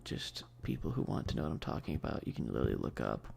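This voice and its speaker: Gravelly, mellow man chatting on stream. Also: very deep voice, wildly swooping pitch, quick pace. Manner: laid-back, hesitant, sweary, slangy enthusiasm. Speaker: streamer hosting a live stream. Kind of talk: casual rambling ASMR stream chat about games.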